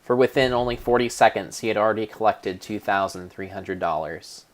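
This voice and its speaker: A man with a fairly low voice narrates like a storyteller reading an adventure tale aloud. His delivery is deliberate and theatrical, with a mock-solemn weight on the phrases. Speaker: narrator of a game playthrough. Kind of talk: dramatic storybook-style narration.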